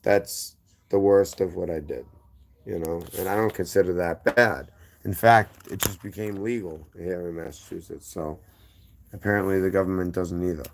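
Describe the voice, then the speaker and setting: Man, thick Boston accent, very deep voice, rambling guest storyteller, drifts off on tangents. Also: steady tone, normal speed, slight background noise. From inner-city Boston. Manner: rambling, drifting between tangents and correcting himself. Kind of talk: casual storytelling.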